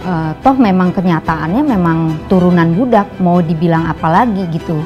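Interviewee, older woman, low-pitched, relaxed chatty talk at home, faint music behind. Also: moderate pace, muffled recording. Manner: chatty, relaxed, many fillers. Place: indoor home room.

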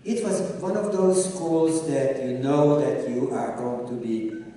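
An older man from Czechoslovakia whose first language is Hungarian, telling his life story as a guest speaker on an auditorium stage, in a measured storytelling way that speaks straight to the audience. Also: mid-range voice, mellow, a little hiss or hum. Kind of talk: personal testimony talk. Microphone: handheld mic, recorded from audience. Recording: distant echoey auditorium recording.

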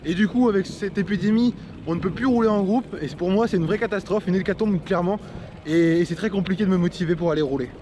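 A man's voice: sits high, quick pace. A young man vlogging to camera while riding a road bike outdoors in the rain, chatting straight to his viewers. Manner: chatty, talks to viewers directly. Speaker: cycling vlogger. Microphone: action camera on the bike. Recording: loud wind noise outdoors. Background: wind and traffic noise.

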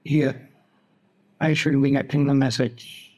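A man narrating a software tutorial in halting, step-by-step fashion as the host. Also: mid-range voice, fairly even intonation. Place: voice-over for a screen recording.

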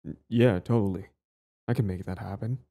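A man's voice: on the low side, fairly even intonation, normal speed, vocal fry, mellow. A young man acting a cocky, possessive and smitten bully in an audio roleplay spoken to the listener. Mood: flustered, eager. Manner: stammering, swaggering, profane.

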